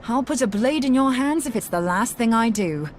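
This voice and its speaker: A woman's voice: on the high side, animated, normal speed, lightly acted. Woman playing a spirited young scholar, plain-spoken and wry. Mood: eager, determined. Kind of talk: video game voice acting. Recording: clear background noise.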